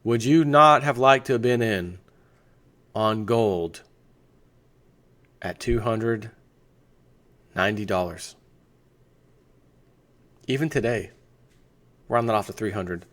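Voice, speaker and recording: A man's voice: lowish voice, takes it slowly, long pauses, fairly bright tone. A man hosting a financial news video, reading an article aloud in a conversational way and breaking off for off-the-cuff asides. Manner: conversational, breaks off to add asides and rhetorical questions.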